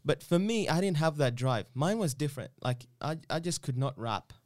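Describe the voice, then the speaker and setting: A man's voice: on the high side, animated, normal speed, a little mellow, dry recording, studio quality. A man from Uganda chatting casually as an interview guest, rambling and repeating himself with lots of "you know".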